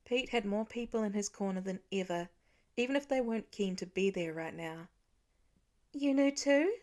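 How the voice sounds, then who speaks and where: A woman's voice: mid-range voice, fairly quick, darkish tone. A woman reading scripted fiction as an audiobook narrator.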